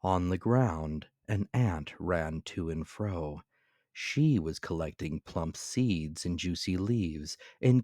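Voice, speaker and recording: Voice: deep voice, darkish tone, no echo, studio quality. A storyteller giving a dramatic scripted reading of a children's fable.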